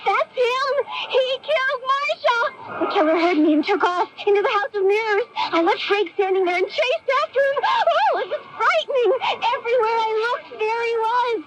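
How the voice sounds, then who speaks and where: An actress playing an excitable, scatterbrained young woman telling her story melodramatically in a radio commercial. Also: very high-pitched, normal speed, heavy background noise. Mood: frightened, excited. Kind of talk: acted 1980s radio commercial. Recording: dull lo-fi old radio broadcast. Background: music.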